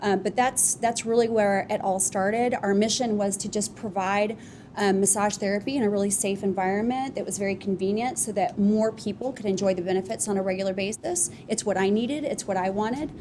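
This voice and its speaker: A woman's voice: medium pitch, a little fast, slight background noise. Rambling woman telling her founder story. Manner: relaxed, rambling with many "um"s. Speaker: franchise founder, panelist. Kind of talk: storytelling on a live podcast panel. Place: office podcast studio with live audience.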